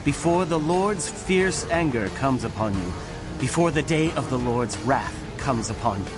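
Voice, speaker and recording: An actor playing a grave prophet proclaiming coming doom, narrating dramatized scripture with solemn, emphatic delivery. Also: male voice, mid-range voice, animated, a little slow, music in the background, close to an everyday voice. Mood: urgent exhortation.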